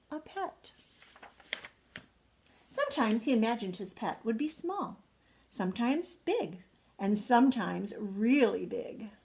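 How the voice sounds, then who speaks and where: Older woman reading slowly, very lively pitch. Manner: expressive, with drawn-out emphatic words. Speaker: retired second-grade teacher. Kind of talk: children's bedtime story reading.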